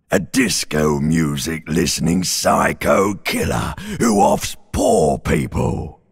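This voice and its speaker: A man voicing an ancient reptilian brain in a video game, a menacing, mocking inner voice with a raspy growl and a drawn-out, taunting delivery. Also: a bass voice, a little mellow, no echo, studio quality, full character voice. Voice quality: extremely gravelly, raspy growl. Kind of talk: dramatic video game voice acting.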